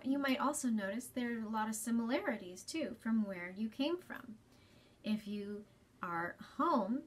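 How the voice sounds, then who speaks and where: Woman doing kids storytime, highish voice bit breathy, gentle and careful explaining. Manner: gentle, deliberate explaining to young children. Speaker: children's storytime host at a library. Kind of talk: children's storytime reading and talk. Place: small library space, indoors.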